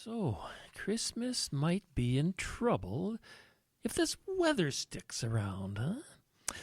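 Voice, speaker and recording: An actor playing a greedy, callous travel agent in an audio drama, with a smug, theatrical manner. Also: male voice, fairly high pitch, huge pitch swings, takes it slowly, darkish tone, breathy edge, no room sound, heavily acted. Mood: scheming, smug. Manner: theatrical, muttering schemes to himself.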